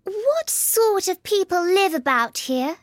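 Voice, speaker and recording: A man's voice: very high voice, very animated, slow pace, no echo, studio quality, voice pushed up, heavily acted. A man voicing a prim, earnest little girl in a storybook reading, with polite, carefully proper speech and a scolding streak. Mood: timid, polite.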